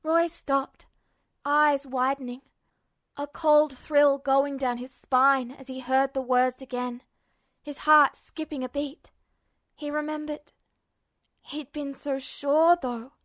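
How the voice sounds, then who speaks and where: A woman reads fan fiction aloud as a podfic narrator, in a soft and even telling. Her voice is high, and the recording is dry and close with no room sound. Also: slow pace, dry recording. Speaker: podfic reader.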